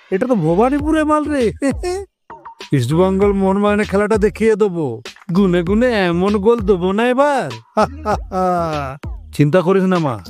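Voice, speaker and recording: A man's voice: high-pitched, a little mellow. An actor playing a boastful, jokey, protective middle-aged father in an audio story, theatrical and teasing. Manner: theatrical, teasing, exclamatory with colloquial banter. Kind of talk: Bengali audio story acting.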